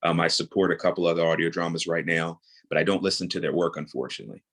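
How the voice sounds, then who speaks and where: Very deep-voiced man in his thirties. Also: fast talker, a bit bright. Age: 30s. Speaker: interview guest, audio drama creator and voice actor. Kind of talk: conversational interview.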